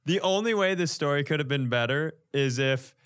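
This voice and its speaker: A man's voice: on the high side, animated, moderate pace, fairly bright tone, clean tone. A man co-hosting a podcast in casual comedic banter, joking with a mock-earnest manner. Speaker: podcast co-host.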